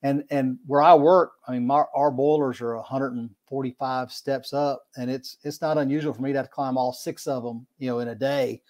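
A man's voice: mid-range voice, fairly even intonation, a little fast, hardly any pauses, darkish tone. Folksy man on a video call. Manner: rambling, repeats words, folksy. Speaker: podcast guest, kayak angler. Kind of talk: casual video-call interview. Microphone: video call webcam mic.

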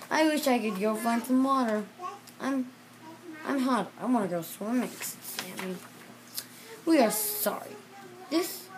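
A child reading a children's storybook aloud in a halting way, repeating and correcting words. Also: mid-range voice, background noise. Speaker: reader.

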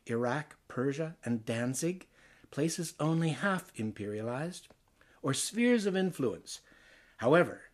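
A Canadian man from Ontario reading poems aloud, expressively and theatrically, with deliberate phrasing and pauses. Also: mid-range voice, darkish tone. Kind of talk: expressive dramatic poetry reading.

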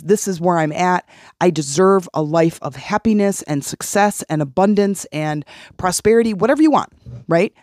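A woman's voice: fairly low pitch, darkish tone, no room sound, studio quality. A woman hosting a healing podcast, talking earnestly and encouragingly, often repeating phrases to press a point. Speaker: podcast host and life coach. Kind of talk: motivational solo podcast talk.

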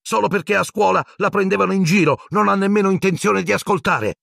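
A man voicing a defiant, indignant teenage schoolboy wizard in a storybook reading, argumentative and shouting back. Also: sits low, animated, brisk, breathy edge, dry recording, studio quality. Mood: angry shouting.

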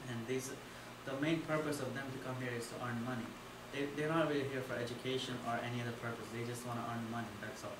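A man's voice: mid-range voice, level tone, fast, few pauses. A young man from India giving a class presentation in a dim classroom, talking casually with many fillers, picked up by a far-off camera. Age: young adult. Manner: casual, filler-heavy, loose phrasing. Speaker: student presenter. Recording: echoey room from far off with noise.

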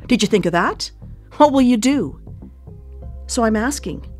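An actress playing a devoted, possessive sister and anxious caretaker in a naturalistic radio drama, speaking in a halting, earnest, pressing way. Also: female voice, fairly high pitch, expressive, darkish tone, faint music behind. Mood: challenging, pressing.

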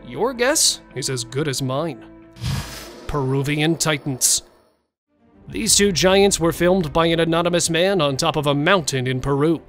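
A man reading a scripted countdown of strange sightings, with dramatic pauses and questions to the viewer. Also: a little high, a bit bright. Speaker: narrator. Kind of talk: scripted narration.

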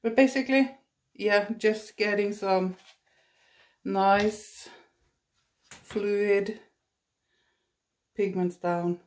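A woman's voice: mid-range voice, fairly even intonation, very slow, pauses often, a little mellow. A woman with a Norwegian accent, a native Norwegian speaker, chatting casually through a watercolor painting demonstration while she paints, recorded on a phone, self-deprecating and laughing often. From Norway. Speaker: watercolor artist. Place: indoors at a painting table.

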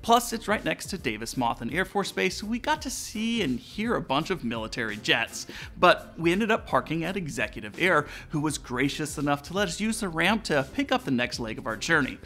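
A man's voice: a little high, very animated, a little fast, hardly any pauses, sharp tone. An American man in his forties hosting, giving a scripted explanation to viewers over background music. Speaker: aviation channel host. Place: indoor studio room with aviation decor.

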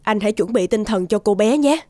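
A woman's voice: mid-range voice, brisk, lightly acted. A woman voicing a firm, formal court official in a cartoon, composed and official. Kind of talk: animated cartoon dubbing.

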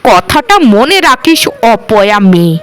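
A woman's voice: mid-range voice, very animated, a little slow, a little hiss or hum. A woman voicing a cruel cartoon aunt who scolds and harangues with scornful sarcasm. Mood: threatening. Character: cruel, scolding aunt bullying her orphaned niece. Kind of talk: Bengali cartoon dialogue.

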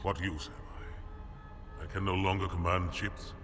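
An actor playing a disgraced, proud alien warrior commander who speaks gravely and resolutely. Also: male voice, low-pitched, flat delivery, normal speed, noisy background, lightly acted. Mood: grim. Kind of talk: video game cutscene acting.

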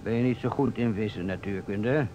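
A man's voice: lowish voice, fairly quick, mellow, lightly acted. An actor playing a dry, probing police inspector, a man with a curt questioning manner, in an old radio play. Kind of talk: vintage Dutch radio play acting. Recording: dull, noisy old radio recording.

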